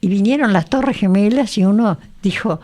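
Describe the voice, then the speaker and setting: A woman's voice: medium pitch, normal speed, mellow. An older woman from Argentina with a Buenos Aires accent, hosting a casual radio conversation and teasing her guest. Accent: Buenos Aires Spanish. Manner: teasing, talks over guest. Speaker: radio host.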